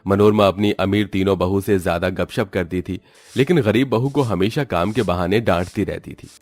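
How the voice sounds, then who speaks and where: Low-voiced male cartoon story narrator. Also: moderate pace, darkish tone, no echo.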